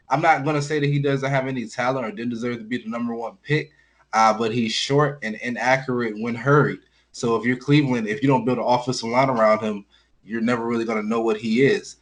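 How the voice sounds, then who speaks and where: Male co-host for sports talk, medium pitch, casual commentary delivered bluntly and informally.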